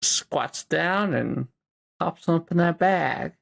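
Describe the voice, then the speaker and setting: A man's voice: fairly high pitch, gravelly, a bit bright. A man playing a tabletop game, describing his character's actions with giggles and restarts. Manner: giggly, halting. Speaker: player. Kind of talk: casual tabletop play.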